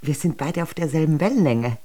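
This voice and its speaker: A woman's voice: deep voice, lively intonation, a little fast, warm tone. Theatrical, melancholy, swooping queen. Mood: confiding. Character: limp, melancholy, scheming queen. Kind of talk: absurdist radio drama acting.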